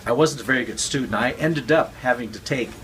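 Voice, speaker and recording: A man's voice: medium pitch, brisk, fairly bright tone. Earnest man explaining, faint noise. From Chinese heritage, raised with Cantonese. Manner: earnest, repeats words while explaining. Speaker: guest speaker. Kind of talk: casual explanatory talk.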